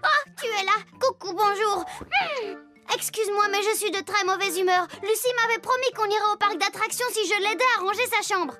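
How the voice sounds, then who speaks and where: A woman playing a little girl who tells animal stories in a children's cartoon, expressive and childlike. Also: extremely high pitch, a little fast. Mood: sulky. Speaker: storyteller. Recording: voice over children's music.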